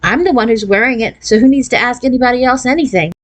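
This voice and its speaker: A woman's voice: fairly high pitch, quick pace, no room sound, pitched above the performer's own voice, close to an everyday voice. A woman voicing a pushy, self-assured fifth-grade girl in a children's storybook. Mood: confident. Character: Stephanie, bossy, confident, boy-crazy fifth-grade girl.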